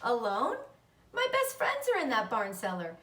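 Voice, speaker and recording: An indignant little storybook girl of eight, voiced by a woman, protesting with emphasis. The voice is fairly high, with roomy echo around it. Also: huge pitch swings, moderate pace, a bit bright. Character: eight-year-old girl, indignant and devoted to animals. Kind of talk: children's book read-aloud. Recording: roomy echo, distant microphone.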